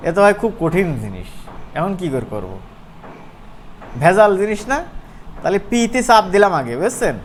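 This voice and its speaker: A man with a regional Bangladeshi Bengali accent giving an informal software tutorial, conversational and often checking that his students follow. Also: high-pitched, big pitch swings, moderate pace, long pauses. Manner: conversational, often asks check-back questions. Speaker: animation course instructor. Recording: noisy room, clearly audible background noise.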